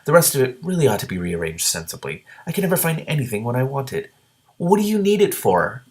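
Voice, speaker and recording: A man voicing a brisk, matter-of-fact female dragon from a storybook, giving instructions in a businesslike way. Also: medium pitch, very animated, pitched above the performer's own voice, lightly acted. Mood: brisk, grumbling.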